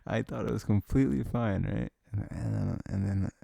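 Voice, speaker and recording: Gravelly, low-voiced young man in intimate ASMR. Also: steady tone, dry recording, clean studio sound. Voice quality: low, gravelly, breathy, often dropping near a whisper. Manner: rambling, halting, frequent "like", soft chuckles. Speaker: ASMR audio creator playing a comforting boyfriend. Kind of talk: intimate ASMR, casual unscripted rambling.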